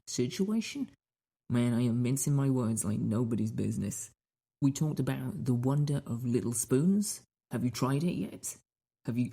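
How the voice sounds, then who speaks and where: Mellow, husky man hosting a podcast. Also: medium pitch. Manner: playful rhetorical questions and repetition. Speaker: podcast host. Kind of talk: casual chatty podcast monologue.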